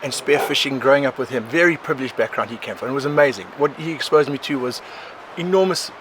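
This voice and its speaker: A South African man in his forties, a podcast guest, telling his life story in a rambling, animated way, often laughing. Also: medium pitch, fast talker. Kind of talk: casual conversational storytelling. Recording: outdoor recording with clear background noise.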